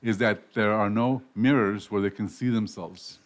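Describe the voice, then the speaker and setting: A man's voice: on the low side, fairly even intonation, normal speed. A man hosting a casual radio interview show, rambling with frequent restarts and self-corrections. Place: radio studio.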